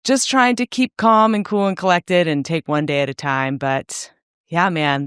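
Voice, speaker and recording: A woman's voice: low-pitched, fairly bright tone, clean tone, no room sound, studio quality. A woman co-hosting a podcast, talking in a loose, chatty way full of casual fillers. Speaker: podcast co-host. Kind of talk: casual chat.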